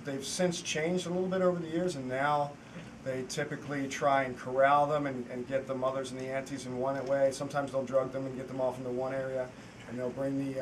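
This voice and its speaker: A filmmaker on a festival panel answering audience questions in earnest, rambling sentences full of restarts. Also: male voice, mid-range voice. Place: festival screening hall. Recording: distant mic with noisy room.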